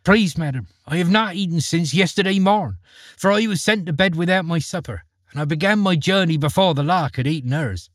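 A man's voice: on the high side, a little fast, no echo, clean studio sound, voice pushed up, close to an everyday voice. A man voicing Jack, a polite, hungry young boy who pleads in a raised voice. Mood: pleading.

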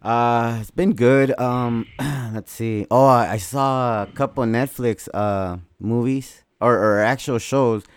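A man's voice: medium pitch, slow, hardly any pauses, clean tone, no echo. A man co-hosting a podcast in casual chat, rambling and swearing freely. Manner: profane, excitable, rambling. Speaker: podcast co-host.

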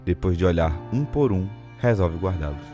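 A man's voice: sits low, lively intonation, moderate pace, music in the background. A man giving scripted literary narration for an audio drama.

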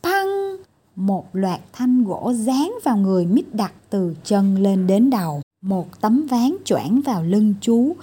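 A woman storyteller giving an expressive scripted narration of a children's story. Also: a little high, breathy edge.

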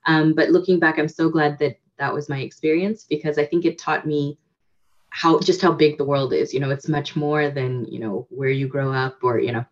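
A woman with a deep, low voice tells her story as an interview guest, reflective and full of fillers and restarts. The audio is dull and lo-fi, like a video call. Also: steady tone, moderate pace. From United States. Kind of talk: casual interview.

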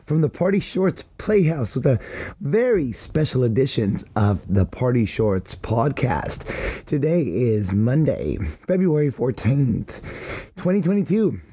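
A man hosting a casual solo podcast, rambling with hype-man banter and a slightly hoarse voice after a wild weekend. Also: mid-range voice, big pitch swings, measured pace, warm tone. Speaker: podcast host.

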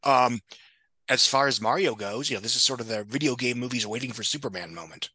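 A man with a bright, forceful voice and a medium pitch holds forth as a podcast guest. He is blunt and sardonic, swears freely and wanders into asides. The recording is close and dry. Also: fairly quick, breathy edge, no echo. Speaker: guest film box office pundit. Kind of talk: opinionated podcast discussion.

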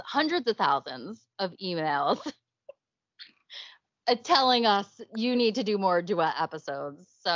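A woman's voice: medium pitch, fairly bright tone, dry recording, dull audio. A young woman co-hosting a podcast in casual chat, chatty and laughing often. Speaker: podcast co-host.

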